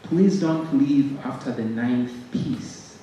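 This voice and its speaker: A man's voice: mid-range voice, takes it slowly, mellow. Echoey, distant Ugandan man addressing a hall. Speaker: college music ensemble director, host. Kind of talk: welcome speech to a concert audience. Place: concert stage in a hall. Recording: very echoey hall, distant mic, faint noise.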